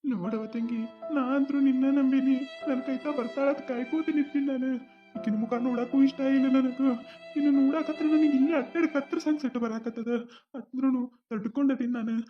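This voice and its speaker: A man's voice: very high-pitched, steady tone, brisk, over music. Man doing a rustic North Karnataka villager. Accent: North Karnataka Kannada. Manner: rustic dialect, switches between several village characters. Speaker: cartoon voice artist. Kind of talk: village comedy cartoon dialogue. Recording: dull lo-fi sound.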